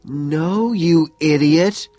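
A male narrator acts out an irritable young woman from an audiobook novel. The voice sits far higher than his natural one and leaps around in pitch, gasping and shrill with indignation. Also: sing-song, measured pace, breathy edge, no room sound, soft background music. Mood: exasperated. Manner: shrieking, indignant delivery.

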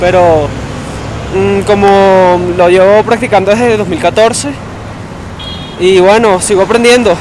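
Young man from Venezuela, measured answers. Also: sits high, fairly even intonation, background noise. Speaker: aerial acrobat. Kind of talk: casual interview answers. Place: street corner outdoors by traffic.

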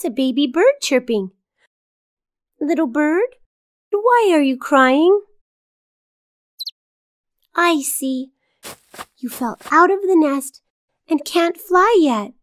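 A woman voicing a cheerful, kindly little girl in a children's cartoon, speaking simply and clearly to young viewers. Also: high-pitched, animated, slow pace, pauses often, no echo. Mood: gentle, caring.

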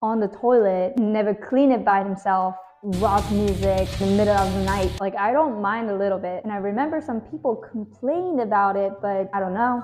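A woman's voice: mid-range voice, darkish tone. A young woman in her twenties, a native Japanese speaker with a Japanese accent, chatting frankly to camera in slightly broken English as a vlogger, recorded on a lapel mic in an apartment kitchen. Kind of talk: casual talk to camera.

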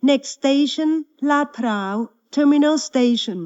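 A woman reading recorded train station announcements in a calm, even, measured delivery. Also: high-pitched, lo-fi sound. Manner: slow, evenly spaced announcements in two languages.

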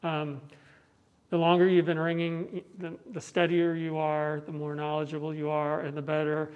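Higher-pitched, even-toned American man. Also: normal speed, narrow, tinny audio. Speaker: bell ringer giving a tour. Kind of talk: explanatory interview chat. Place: large indoor tower room. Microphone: clip-on mic on the chest.

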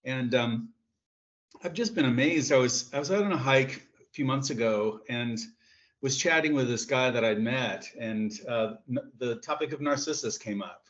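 A man's voice: medium pitch, moderate pace, a bit bright. A man from Tennessee asking a question on a video call with his camera off, hesitant, with frequent uhs and ums. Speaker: audience member asking a question. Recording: dull lo-fi call audio.

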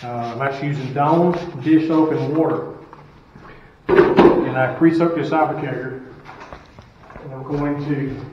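A man's voice: on the high side, steady tone, measured pace, long pauses, very noisy. A middle-aged man, a school custodian, giving plain-spoken step-by-step cleaning instructions and asking rhetorical questions. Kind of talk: casual how-to instruction. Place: school stairwell, indoors, hard walls. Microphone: camera some distance off. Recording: echoey stairwell, distant and dull.

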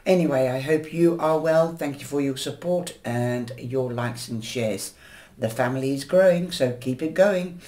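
A woman giving a weekly tarot horoscope reading in a gentle, chatty, rambling way, her voice a little nasal from a cold. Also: extremely low pitch, normal speed. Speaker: tarot reader. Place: indoors at a table, camera over the cards.

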